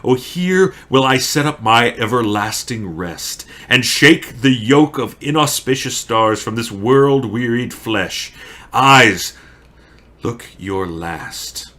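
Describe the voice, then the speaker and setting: A man playing a grieving, lovesick young tragic hero in a theatrical, solemn Shakespearean monologue with drawn-out phrasing. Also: medium pitch, animated, pushed and bright, voice pushed up. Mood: anguished, resolute, then despairing farewell.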